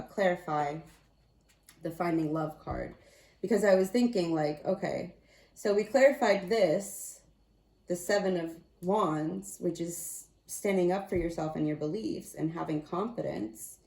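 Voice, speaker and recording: Lower-voiced woman reading tarot, slightly raspy. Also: warm tone, echoey room, low-quality recording. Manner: chatty, thinks aloud and corrects herself. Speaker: tarot card reader. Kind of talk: casual spiritual card reading.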